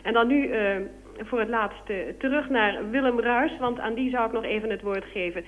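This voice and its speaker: A woman presenting live radio, politely and formally addressing guests with slightly halting phrasing. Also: a little high, phone-quality sound, slight background noise. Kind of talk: live broadcast interviewing and handover.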